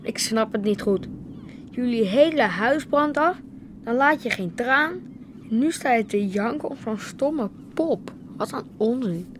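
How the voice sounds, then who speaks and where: A woman's voice: a little high, animated, measured pace, a little hiss or hum. A woman voicing a blunt, indifferent teenage boy in a radio play. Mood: dismissive. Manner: blunt, dismissive. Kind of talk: radio drama acting.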